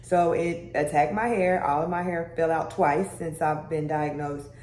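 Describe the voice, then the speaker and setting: A young adult woman with a deeper voice, talking casually to her viewers in a chatty vlog manner. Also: fairly even intonation, unhurried, darkish tone. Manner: chatty, conversational, with "y'all" and "baby" asides. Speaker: vlogger. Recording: echoey room with faint background hum.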